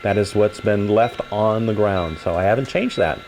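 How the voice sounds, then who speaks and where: A man's voice: fairly low pitch, moderate pace, a little mellow, slight background noise. An older man giving an informal, explanatory tour of a model railroad layout as its hobbyist guide.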